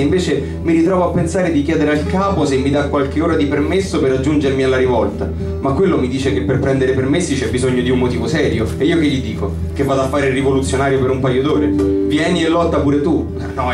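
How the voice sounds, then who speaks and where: A man reading a first-person literary story in an expressive, dramatic, bitter and brooding way. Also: mid-range voice. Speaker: reader of a short story. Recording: roomy live reading, distant mic, guitar behind. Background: acoustic guitar accompaniment.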